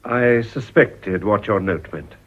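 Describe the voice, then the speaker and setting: An actor with an English accent playing an earnest, ambitious Edwardian politician in a period radio drama. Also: male voice, fairly low pitch, takes it slowly. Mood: tender, pleading. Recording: dull, slightly muffled old radio recording.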